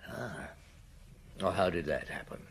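A seasoned detective in a radio drama. His voice is low and husky. He coaxes answers out gently, in measured phrases broken by thoughtful murmurs. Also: expressive, full character voice. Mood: gently probing. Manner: measured, gently coaxing, murmuring hums and pauses. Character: patient, probing middle-aged French police chief inspector. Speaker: detective interrogating a witness.

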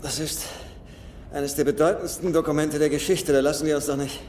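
A man's voice: a little high, a little hiss or hum, natural voice. A man acting in a film dub as a brainy, principled treasure hunter who thinks aloud. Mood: wary. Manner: thinking aloud, halting then excited. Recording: long hall reverb under film music.

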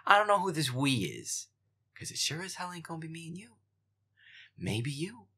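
A man's voice: on the high side, big pitch swings, normal speed, bright, forceful tone, breathy edge, exaggerated voice. A man voicing a feisty, foul-mouthed young boyfriend, grumbling and exasperated, in a gameplay audio roleplay. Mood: sarcastic, irritated. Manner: grumbling, profane, exasperated, often muttering under breath. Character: feisty foul-mouthed werewolf boyfriend grudgingly playing scary game.

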